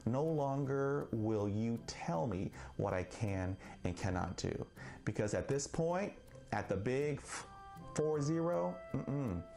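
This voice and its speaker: Slow-talking man who stutters. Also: fairly low pitch, vocal fry, darkish tone. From Texas. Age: 40s. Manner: stutters, slow and deliberate with pauses. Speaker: vlogger. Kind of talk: personal monologue. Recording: faint guitar music behind.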